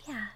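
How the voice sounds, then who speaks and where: A woman playing a shy, sweet young cottagecore woman in love, speaking softly and hesitantly in an intimate roleplay audio drama. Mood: hiding disappointment. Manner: hesitant, bashful, soft-spoken, trailing off. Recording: faint background noise, birdsong effects. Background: birdsong, outdoor nature sound effects.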